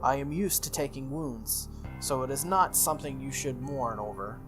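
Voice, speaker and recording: A man's voice: mid-range voice, moderate pace, lightly acted, poor audio. A man voicing a stoic, dutiful young female sword-wielding servant from a visual novel, formal and matter-of-fact. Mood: solemn, firm. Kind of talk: visual novel character read-aloud. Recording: music under the voice.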